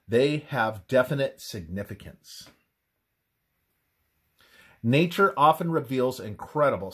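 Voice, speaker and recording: A man reads a scripted religious text aloud in a measured, deliberate manner, pausing between phrases. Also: medium pitch, husky. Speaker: reader of a religious presentation. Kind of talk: scripted devotional narration, read aloud.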